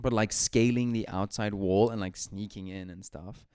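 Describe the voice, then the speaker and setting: A man's voice: fairly low pitch, fairly even intonation, normal speed, a little mellow, clean tone, no room sound, studio quality. A man co-hosting a gaming podcast, rambling through his thoughts with lots of likes and ums. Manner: rambling, full of likes and ums, laughs easily. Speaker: podcast co-host. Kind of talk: casual gaming podcast chat.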